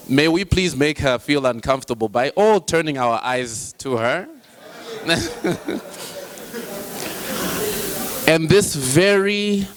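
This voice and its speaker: A man from Zambia emceeing a book launch, playful and self-deprecating as he introduces a speaker. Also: on the high side. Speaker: master of ceremonies. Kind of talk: event hosting. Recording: loud music behind the voice.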